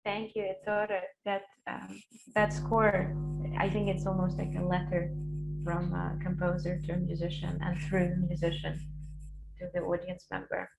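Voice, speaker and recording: A woman moderates a webinar in a low, gravelly voice. She speaks softly, and clear background noise sits under her words.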